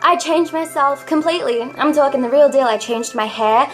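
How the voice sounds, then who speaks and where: A young woman vlogging straight to camera in a very high, cute, girlish voice. She talks in a confessional, dramatic way and keeps poking fun at herself. Soft music plays faintly in the background. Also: normal speed. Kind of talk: confessional vlog monologue.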